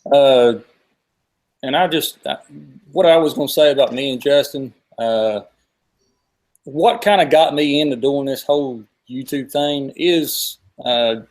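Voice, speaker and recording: A man's voice: medium pitch, a little slow. A man in his forties with a Southern US accent, hosting a live stream in a casual, rambling way that circles back over his words. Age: 40s. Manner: rambling, repeats himself. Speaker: host of a fishing live stream.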